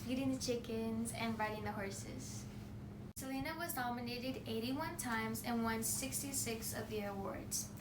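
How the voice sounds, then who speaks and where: A young woman delivers a presentation speech in an earnest, slightly halting way, as if reading from notes. Her voice sits a little high. She is heard from a distance in a roomy, echoing space with clear background noise. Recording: echoey room, voice from off the mic, noisy.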